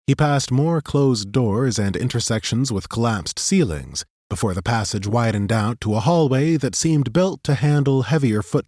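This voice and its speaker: A man narrating a fantasy audiobook in a steady, measured storytelling manner, close to a studio microphone. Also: lowish voice, no room sound.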